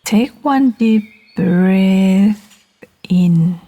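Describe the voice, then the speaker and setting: A woman guiding a meditation in a gentle, soothing manner, speaking in short phrases with long, unhurried pauses. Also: mid-range voice. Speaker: meditation guide. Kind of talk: guided meditation narration.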